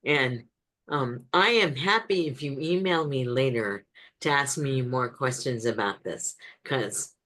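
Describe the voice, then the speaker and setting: A woman's voice: very deep voice, unhurried, fairly bright tone. A woman speaker answering audience questions in a webinar over a video call, direct, with frequent ums and restarts.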